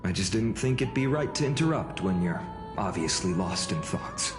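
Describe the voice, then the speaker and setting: An actor playing an earnest, polite young male leader in a game, speaking calmly and courteously. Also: deep voice, normal speed. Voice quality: calm, courteous. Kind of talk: game voice acting. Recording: roomy echo, music behind.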